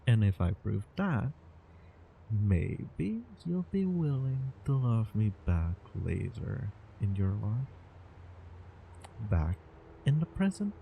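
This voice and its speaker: A man's voice: fairly low pitch, sing-song, vocal fry, warm tone, exaggerated voice, rough recording. A man playing an obsessively devoted, doting time-traveling lover in an intimate roleplay audio drama, coaxing the listener. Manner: slow, intimate, coaxing, over-the-top devotion. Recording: background ambience, light noise bed.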